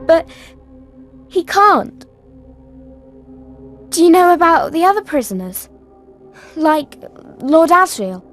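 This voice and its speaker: A woman voicing a brave, quick-witted, polite young girl in a video game cutscene, speaking earnestly and courteously. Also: extremely high pitch, very animated, faint music behind, heavily acted. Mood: curious.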